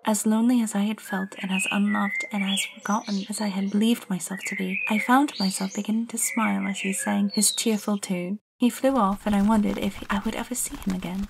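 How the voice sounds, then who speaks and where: A woman voicing a lonely, curious young girl, narrating her own story in the first person with a soft, hushed delivery. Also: mid-range voice, steady tone, a little fast, creaky, a little hiss or hum, natural voice, low-quality recording. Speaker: narrator and lead actor in an audio drama.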